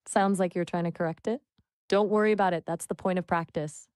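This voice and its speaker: A woman tutors spoken English in a fairly low voice, recorded close and dry. She is patient and praising, and breaks down word choices step by step. Her explanations come out clear and steady. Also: fairly quick, dry recording. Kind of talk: encouraging language coaching.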